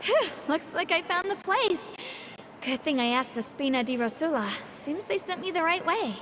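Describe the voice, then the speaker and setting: An actress playing a polite young government messenger in a video game, courteous and formal. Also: female voice, extremely high pitch, lively intonation, moderate pace, background noise. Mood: relieved.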